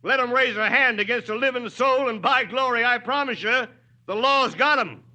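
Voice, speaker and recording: An actor playing a folksy, firm small-town mayor addressing a rally in a 1940s radio drama, plain-spoken and emphatic. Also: male voice, very high-pitched, moderate pace, sharp tone, heavily acted. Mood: firm, persuasive. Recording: old 1940s radio broadcast.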